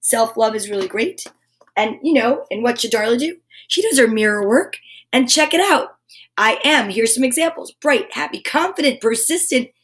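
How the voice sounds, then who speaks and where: A woman's voice: on the high side, lively intonation, moderate pace, a bit bright. A woman hosting a live children's story show, effusive and encouraging, chatting with playful asides and reading aloud.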